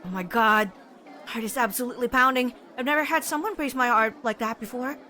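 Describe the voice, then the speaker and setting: A woman streamer with a bright, high-set voice reads game text aloud and chats in an expressive way. She takes her time, and faint noise and game sound sit behind her. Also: fairly quick, a faint crowd. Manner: expressive, reacts with frequent laughter and exclamations. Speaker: streamer playing a visual novel. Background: game audio and ambience.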